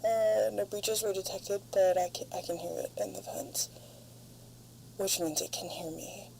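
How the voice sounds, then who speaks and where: A woman playing a frightened, foul-mouthed stranded spaceship crew member, recording halting, weary log entries in an audio drama. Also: medium pitch, vocal fry, darkish tone, slight background noise, low-quality recording. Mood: wary, anxious.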